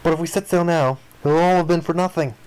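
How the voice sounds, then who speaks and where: A man with a somewhat higher-pitched voice reads story text aloud from a visual novel. He dramatizes the dialogue, performing the lines as part of a game playthrough. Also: fairly even intonation, fast, darkish tone, clean tone, low-quality recording. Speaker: gaming video player reading aloud.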